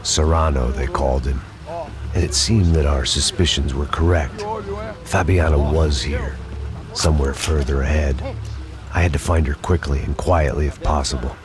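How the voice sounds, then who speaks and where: An actor playing a jaded, cynical middle-aged bodyguard in a noir video game, sardonic and world-weary. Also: male voice, extremely low pitch, fairly even intonation, normal speed, crowd noise. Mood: wry, grim. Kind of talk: noir game narration and dialogue.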